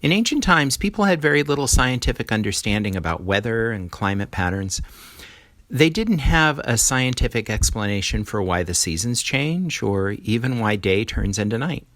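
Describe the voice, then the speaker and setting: A man's voice: mid-range voice, sing-song, normal speed, a bit bright, dry recording. A man reading an educational text aloud as a teacher, explaining and stressing key words. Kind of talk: educational read-aloud narration.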